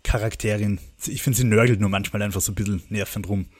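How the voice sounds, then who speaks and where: A man's voice: fairly low pitch, fairly even intonation, quick pace, a little mellow, breathy edge, dry recording. A chatty man on a film podcast who retells plots at length and chuckles between remarks. His voice is recorded dry and close, with no room sound. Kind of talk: casual film discussion.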